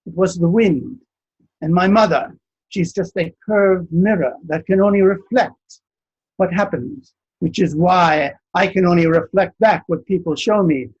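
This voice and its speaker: A man doing a booming, boastful giant. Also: slightly rough, highs cut off, heavily acted. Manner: slow, booming challenges. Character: boastful male giant who mirrors everyone. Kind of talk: storytelling.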